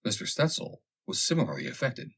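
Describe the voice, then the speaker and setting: A man reading a vintage humorous story aloud as scripted narration, with dramatic, expressive delivery. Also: medium pitch, a little fast, vocal fry, breathy edge. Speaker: audiobook reader.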